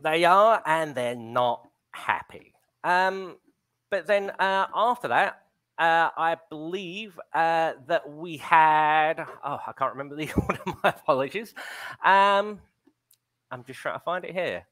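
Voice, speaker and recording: A man in his thirties hosts a wrestling stream from home over a video call, his voice sitting fairly high. He chats casually, pausing on "um" as he reads out viewer comments through a headset mic. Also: a bit bright. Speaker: wrestling show host.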